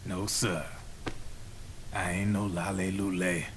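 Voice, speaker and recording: An actor playing a slick, cynical arms dealer in a game cutscene, explaining smugly in folksy slang. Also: male voice, deep voice, little pitch movement, slow, slight background noise. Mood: matter-of-fact, cynical. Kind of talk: video game cutscene acting.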